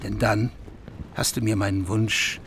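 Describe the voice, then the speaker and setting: A man's voice: fairly low pitch, flat delivery, a little slow, fairly bright tone, slight background noise. An actor in a radio drama playing an earnest heathen king eager to marry.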